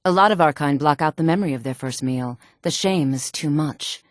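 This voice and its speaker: An actress voicing a sultry, sly fantasy game woman with a smooth, deliberate, coaxing and quietly menacing delivery. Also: sits low, no echo, clean studio sound, close to an everyday voice. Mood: reverent, conspiratorial. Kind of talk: video game character dialogue.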